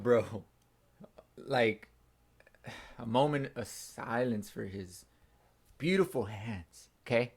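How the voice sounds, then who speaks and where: A young man hosting a casual sports reaction, excitable and slangy, with a hoarse, nearly lost voice from screaming. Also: medium pitch. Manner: excitable, slangy, says bro a lot. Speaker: fan channel host. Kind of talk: casual post-game reaction.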